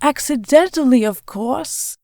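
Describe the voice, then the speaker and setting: A woman's voice: high voice, a little slow, dark tone, no room sound, studio quality. A woman playing a sarcastic, unrepentant wife in a comic dub. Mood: sarcastic, dismissive. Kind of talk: fan comic dub acting.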